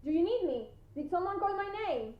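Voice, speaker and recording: Shrill, echoey fussing aunt. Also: female voice, animated, a little fast. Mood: solicitous. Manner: calling out, solicitous. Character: fussing, attentive aunt calling from another room. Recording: echoey, dull, heard from another room.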